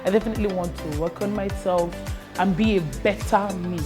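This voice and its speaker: A woman's voice: mid-range voice, steady tone, fairly quick, music in the background. A woman, speaking earnestly and a little hesitantly as a reality show contestant. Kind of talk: confessional interview.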